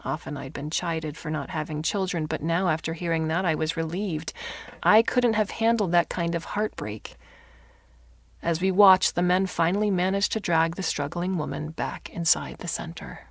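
Female fiction narrator, lowish voice, expressive, often breathy, dry studio-like sound. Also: normal speed, no echo. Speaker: story narrator. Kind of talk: dramatic audiobook narration of fiction.

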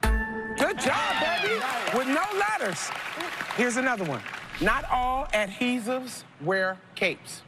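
Woman game show host, animated bouncing voice, playful, slangy, loud music under a TV broadcast. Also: high voice, slow pace, over a noisy crowd. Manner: playful, lively, Southern-tinged slang like y'all. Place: large studio set of a supermarket.

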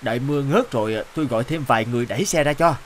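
A man's voice: medium pitch, very fast, a little hiss or hum, close to an everyday voice. Man as a humble village dad. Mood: calm, helpful. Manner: plain-spoken, courteous. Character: kind, humble village father, a young man. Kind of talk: animated cartoon dialogue.

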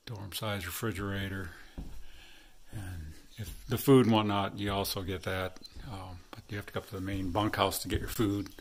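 A man's voice: low-pitched, a little hiss or hum. Rambling middle-aged man hiking outdoors. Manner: rambling, relaxed, many fillers and trailing pauses. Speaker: hiker filming his trail vlog. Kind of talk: casual walking commentary. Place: outdoors at a rural hostel and open hilltop meadows. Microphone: handheld phone camera.

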